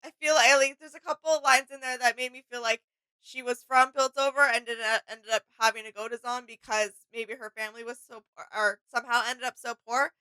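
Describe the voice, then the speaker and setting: A woman's voice: a little high, fairly even intonation, brisk, bright, forceful tone, no echo, low-quality recording. A young woman co-hosting a casual reaction video, agreeable and a little tentative as she shares her thoughts. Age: young adult. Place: small home studio room.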